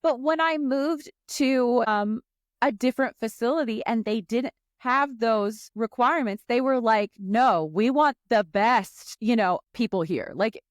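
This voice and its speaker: Woman, from the Middle East, high voice, dry close sound, animated co-host. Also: measured pace, no echo. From Egypt and the Middle East. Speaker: podcast co-host, former special ed teacher. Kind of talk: casual panel chat.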